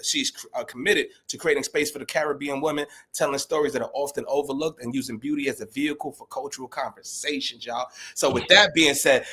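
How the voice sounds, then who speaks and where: A man hosting a podcast, hyping up his guest with loose, slangy energy. His voice sits a little high for a man, and he keeps calling out to the listeners. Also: slightly rough. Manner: energetic, slangy, addresses "y'all". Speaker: podcast host. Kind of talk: casual hype introduction.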